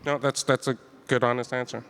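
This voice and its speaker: A man's voice: medium pitch, flat delivery, a little fast, a bit bright, clean tone. A man moderating a panel, asking questions hesitantly with many fillers and restarts. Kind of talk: panel discussion.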